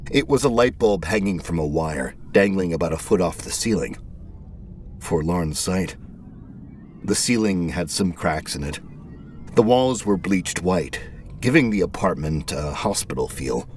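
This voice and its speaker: A man narrating a scripted first-person story in a brooding, terse, deliberate manner. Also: low voice, animated, slight background noise. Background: background music.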